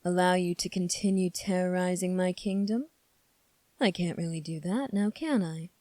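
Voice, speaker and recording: A woman voicing a low, mellow, sly young prince. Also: no room sound, close to an everyday voice. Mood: coolly probing. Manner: measured, formal, coolly probing. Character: calm, sly, teasing young male prince, mildly sadistic. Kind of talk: ASMR roleplay audio drama.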